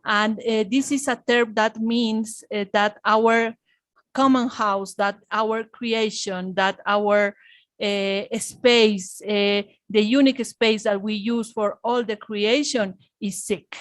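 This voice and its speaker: A woman's voice: mid-range voice, fairly even intonation, takes it slowly, no echo. A woman from Bolivia, representing a church charity and speaking hesitantly as she searches for words. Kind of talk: panel contribution.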